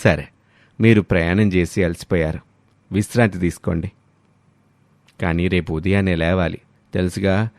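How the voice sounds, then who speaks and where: Man playing deep-voiced kindly village father. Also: steady tone, normal speed, pauses often, a little mellow, dry recording. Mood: gently instructive. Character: kindly village father, middle-aged, welcoming and explanatory. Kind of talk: voice acting in a moral story.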